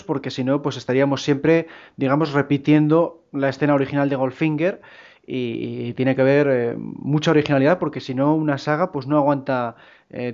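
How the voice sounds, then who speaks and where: A man's voice: mid-range voice, darkish tone. A man hosting a casual discussion, putting questions to his guests and acknowledging them. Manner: asks guests questions, frequent acknowledging murmurs. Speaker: debate host. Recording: muffled lo-fi audio.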